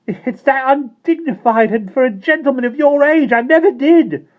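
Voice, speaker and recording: Storybook role: shrill fussy landlady, very high and sharp, put on by a man reader, dull lo-fi sound. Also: normal speed. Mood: scandalized. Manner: shrill, indignant and fretful. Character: fussy prim spinster landlady, easily scandalized. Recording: dull lo-fi recording.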